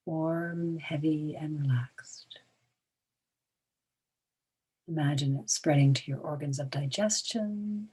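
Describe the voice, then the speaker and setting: A woman guides a relaxation meditation in a low, breathy voice. She speaks very slowly in short, soothing phrases with long pauses, often softening toward a whisper at the ends.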